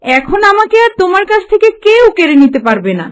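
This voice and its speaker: A woman's voice: extremely high pitch, very animated, fast. A woman playing a devoted, cunning young golden fish wife in a Bengali fairy-tale cartoon, with a theatrical delivery. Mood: sweet, coaxing. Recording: faint music behind the voice.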